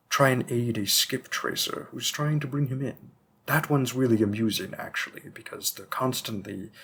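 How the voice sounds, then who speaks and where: A man's voice: medium pitch, a bit bright, husky, heavily acted. A man playing a patient, bookish vampire bookseller with a hint of menace, musing hesitantly in a conversational roleplay audio drama. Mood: musing, keen. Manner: musing, hesitant, deliberate, with frequent pauses.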